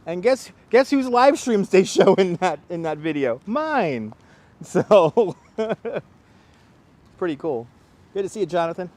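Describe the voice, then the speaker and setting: A man with a Brooklyn New York accent, chatting casually to live-stream viewers as a local guide, speaking clearly and deliberately. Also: high voice, sing-song, a little mellow. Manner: clear, deliberate enunciation, rambling. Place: outdoors on city streets by the seaside. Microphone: wireless clip-on mic. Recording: outdoor urban street noise.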